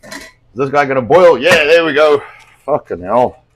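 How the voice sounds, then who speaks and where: A man's voice: medium pitch, lively intonation, unhurried, a bit bright. Blunt, swearing middle-aged male cooking host. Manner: rambling, blunt, swears a lot. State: slightly hoarse from a cold. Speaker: host cooking on a live stream. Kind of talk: casual profane chat while cooking.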